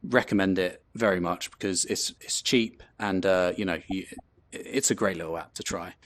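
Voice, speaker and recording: A man's voice: low voice, moderate pace, a bit bright, no echo. A man from England with a London accent, hosting a casual presentation, chatty and loose with many fillers and restarts. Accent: London English. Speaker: host presenting a talk.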